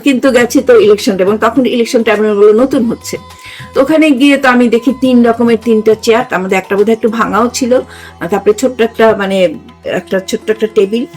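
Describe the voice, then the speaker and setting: Older Bangladeshi woman, higher-pitched voice, music behind. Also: fast, darkish tone. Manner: emphatic, repeats phrases, seeks agreement. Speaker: talk show host, retired judge. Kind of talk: conversational discussion.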